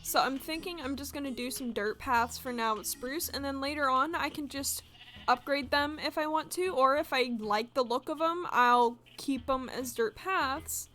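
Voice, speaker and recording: A young woman with a congested voice, chatting casually and excitedly as she builds in a video game. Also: high voice, normal speed. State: congested from allergies. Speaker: gaming video creator. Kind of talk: casual gameplay commentary. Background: soft game music.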